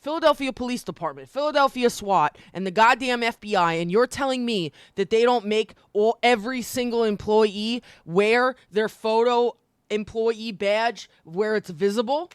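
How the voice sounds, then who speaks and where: A woman's voice: a little high, moderate pace, clean tone, no echo, studio quality. A woman chatting casually on a livestream, informal, opinionated and slangy in an emphatic way. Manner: informal, slangy, frequent swearing. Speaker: live streamer.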